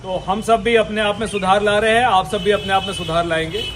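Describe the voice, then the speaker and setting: A man's voice: high-pitched, steady tone, fairly quick, a bit bright. A man speaking as a senior police officer, addressing a meeting in an exhortatory way with emphatic repetition. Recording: live speech with background noise.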